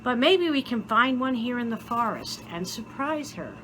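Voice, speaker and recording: A woman's voice: on the high side, animated, normal speed, bright, forceful tone, a little hiss or hum. A woman voicing a poor, dutiful older boy in a storybook, speaking sincerely and plaintively. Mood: wistful, hopeful.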